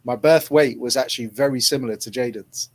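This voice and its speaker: A man's voice: mid-range voice, brisk. A man hosting a podcast, chatting casually and telling stories with frequent laughter. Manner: chatty, storytelling, laughs often. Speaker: podcast host. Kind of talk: casual chat.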